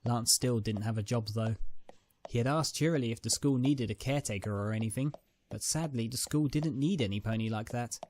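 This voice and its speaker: A man's voice: mid-range voice, a little fast, darkish tone, a little hiss or hum. A man reading a scripted dramatic story as its narrator.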